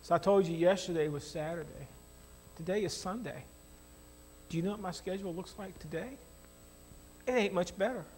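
A middle-aged American man giving a conversational sermon in an animated, emphatic way, asking rhetorical questions. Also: high-pitched, moderate pace, long pauses, slight background noise. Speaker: preacher. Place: church stage at a lectern, indoors.

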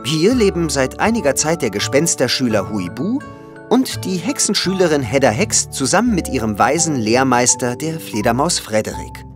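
A man's voice: mid-range voice, very animated, normal speed. A man narrating a scripted children's audio play. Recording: music under the voice. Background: music.

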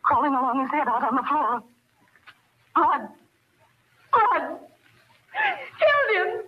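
A woman's voice: high-pitched, sing-song, slow, full character voice. A woman acting in an old radio drama, playing a spoiled, defiant nineteen-year-old rich girl. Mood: horrified. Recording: noisy, muffled old radio recording.

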